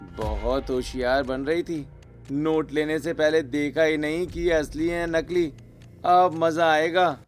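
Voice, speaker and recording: Cartoon family story: young teasing brother-in-law played by a man, higher voice, music underneath. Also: fairly even intonation, takes it slowly. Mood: smug, scheming. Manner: cheeky, playful teasing.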